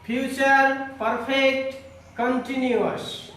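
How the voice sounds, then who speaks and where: A man giving a grammar lesson to students as their teacher, explaining in a deliberate, repetitive way. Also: extremely high pitch, little pitch movement, bright, forceful tone. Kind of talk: classroom lesson addressed to students. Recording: echoey room, distant microphone.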